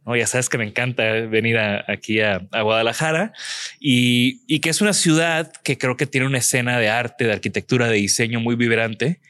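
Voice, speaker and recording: Man, podcast host, mid pitch, dry close mic, winding questions. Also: steady tone, normal speed, fairly bright tone, no room sound. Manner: rambling, with restarts and frequent "¿no?" tags. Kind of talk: conversational interview.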